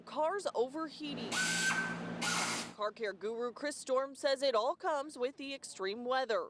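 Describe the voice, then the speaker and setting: A female television reporter with a higher-than-average voice. She delivers scripted news live in broadcast style.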